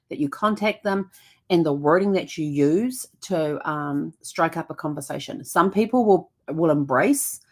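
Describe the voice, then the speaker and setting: Woman host, lower voice, many ums. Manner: frequent ums and self-corrections, stumbling over words. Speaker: genealogy show host. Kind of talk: casual explainer episode.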